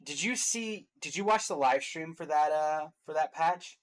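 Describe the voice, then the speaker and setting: A man's voice: on the high side, normal speed. A man chatting casually as he hosts and comments in a reaction show.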